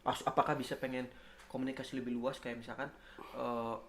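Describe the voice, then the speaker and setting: A man with a Jakarta Indonesian accent hosting a casual, jokey interview in slangy, expressive speech. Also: medium pitch, a little fast. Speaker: host. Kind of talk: casual joking interview chat.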